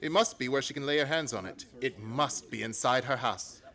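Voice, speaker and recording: A man playing a confident, commanding gentleman detective in a theatrical radio play. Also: mid-range voice, brisk. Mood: assured.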